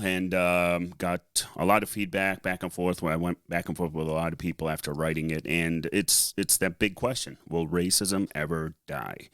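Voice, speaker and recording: A man telling his personal story casually as a podcast co-host, halting often as he gathers his thoughts. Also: low voice, a bit bright, clean tone, dry recording, studio quality. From born in Liberia, later raised in the US, of Lebanese family. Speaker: podcast co-host and article writer.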